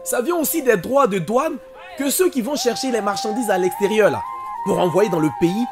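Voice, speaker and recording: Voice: medium pitch, animated, moderate pace, over music, close to an everyday voice. A performer playing a friendly, patient guide who explains things in simple everyday words in an educational cartoon. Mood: patient, explanatory. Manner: didactic, simple everyday wording. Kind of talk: animated educational film, explanatory dialogue.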